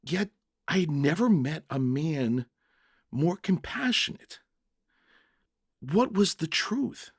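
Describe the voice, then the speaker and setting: A man's voice: fairly high pitch, sing-song, takes it slowly, pauses often, husky, no echo, clean studio sound, pitched above the performer's own voice, exaggerated voice. A man playing a bewildered, earnest jailer who tells his story in the first person with dramatic, stammering emotion. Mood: baffled, questioning. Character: young-hearted jailer narrator, bewildered, earnest, emotional father.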